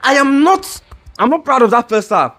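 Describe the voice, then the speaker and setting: A man's voice: very high voice, lively intonation, normal speed, fairly bright tone, faint music behind. A young man ranting in a heated, exasperated way about a football match, stumbling over restarts and straining his voice. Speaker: football fan vlogger. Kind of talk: ranting reaction video.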